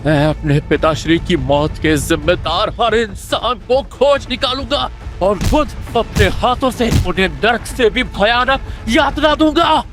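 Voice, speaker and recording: Impassioned, high-voiced young prince. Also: male voice, a little slow, music in the background. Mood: bitter, vengeful. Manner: impassioned, emotional. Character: innocent, anguished orphaned young prince, a boy. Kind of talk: Hindi fantasy audio drama acting.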